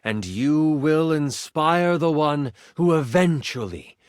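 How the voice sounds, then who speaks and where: An excitable male game master tells a fantasy tabletop tale for a video game. His fairly high voice swings widely in pitch as he builds suspense with theatrical flair. The sound is dry and close. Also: takes it slowly, no room sound. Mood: warm, solemn. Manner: theatrical, expressive, savoring suspense. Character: personified twenty-sided die, an excitable male game master. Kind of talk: dramatic tabletop fantasy narration.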